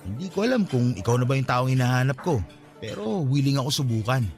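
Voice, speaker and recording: An actor playing an earnest, eager suitor on a date in a radio drama, speaking conversationally with a Filipino accent. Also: male voice, medium pitch, sing-song, fast, a little mellow, soft background music. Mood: earnest, hopeful. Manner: courting, conversational.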